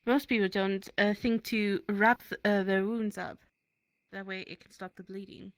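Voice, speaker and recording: A woman's voice: medium pitch, steady tone, normal speed, no room sound. A woman roleplaying a town medic in improvised dialogue, speaking in a hesitant, matter-of-fact way. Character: town medic tending a wounded hunter.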